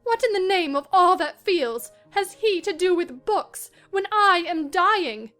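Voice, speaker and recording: A woman playing a feverish, delirious young gentlewoman in a dramatized classic novel reading, theatrical and shifting between dreamy murmurs and anxious outbursts. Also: very high voice, expressive, measured pace, faint music behind, exaggerated voice. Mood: anguished.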